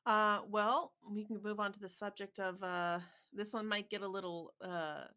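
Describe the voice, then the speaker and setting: A woman's voice: medium pitch, fairly even intonation, normal speed, pushed and bright, smooth. A woman co-hosting a podcast, speaking directly and with fire in casual chat. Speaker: podcast co-host.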